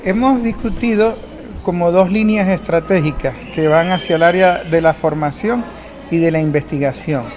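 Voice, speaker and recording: A man interviewing a guest, putting questions in a measured, inquiring manner. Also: sits high, a little mellow.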